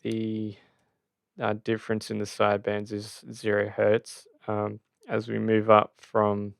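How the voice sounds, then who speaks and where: A man giving an unscripted technical tutorial, halting as he thinks aloud. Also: lowish voice, completely flat delivery, gravelly, smooth, no echo, clean studio sound. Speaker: audio tutorial presenter.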